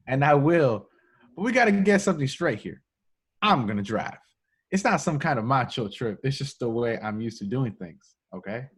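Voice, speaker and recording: A man's voice: mid-range voice, expressive, moderate pace, slightly rough. Cocky, flirty undercover agent acted by a man. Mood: flirtatious, amused. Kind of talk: amateur table read of a film script. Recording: home remote table-read recording.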